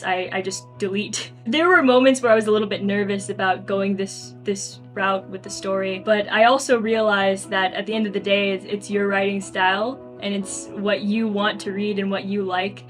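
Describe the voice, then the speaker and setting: A young adult woman talking casually and reflectively as a guest in an interview. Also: medium pitch.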